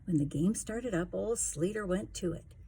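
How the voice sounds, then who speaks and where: A woman's voice: medium pitch, moderate pace, mellow, slight background noise, poor audio. A woman reading a rhyming children's story aloud in a theatrical, emphatic way. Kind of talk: expressive rhyming storybook narration.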